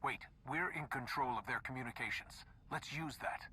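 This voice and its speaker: An actor playing a compassionate, calm male Jedi in a video game, earnest and measured, with a breathy, husky edge. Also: mid-range voice, lightly acted, rough recording. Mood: composed. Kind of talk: video game cutscene acting.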